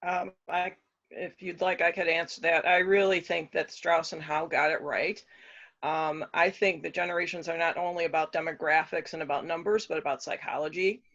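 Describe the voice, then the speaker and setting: Older guy late 50s, creaky highish voice, dull lo-fi sound, chatting. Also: fairly even intonation, normal speed, sharp tone. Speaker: panel guest. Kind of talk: conversational opinion.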